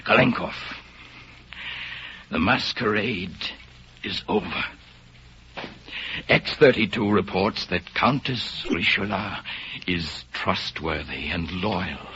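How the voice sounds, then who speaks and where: An actor playing a suave, fatalistic spy in a 1930s radio drama, with mocking, romantic, measured theatrical delivery. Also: male voice, sits low, expressive, sharp tone, exaggerated voice. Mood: weak, resolute. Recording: noisy, muffled vintage radio recording.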